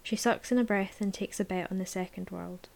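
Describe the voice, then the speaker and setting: A woman narrating a novel aloud in a measured, sometimes hushed voice. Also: medium pitch, steady tone, vocal fry. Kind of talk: audiobook narration, present tense.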